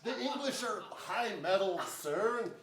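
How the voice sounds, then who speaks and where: Older man playing a high-voiced, animated royal messenger. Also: measured pace, exaggerated voice. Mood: bold, emphatic. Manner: declamatory, emphatic. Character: bold, forthright royal officer and messenger. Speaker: stage actor. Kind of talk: theatrical staged reading of Shakespearean verse. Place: large indoor theater stage before an audience. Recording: echoey theater, distant microphone.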